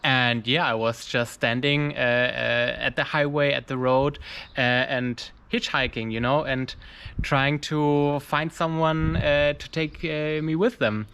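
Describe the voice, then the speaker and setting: A man from Germany recounts a hitchhiking trip in English, his voice sitting at an ordinary middle pitch. He stops and restarts often and hunts for the right word. The telling is loose and conversational. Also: flat delivery, fairly bright tone, smooth. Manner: halting, many restarts and fillers, searching for words. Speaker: mountaineer vlogger. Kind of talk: casual storytelling.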